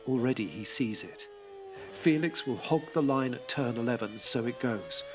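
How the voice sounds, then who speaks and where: A man's voice: medium pitch, normal speed. Intense male drama narrator over music. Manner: intense, rhythmic, second-person at times.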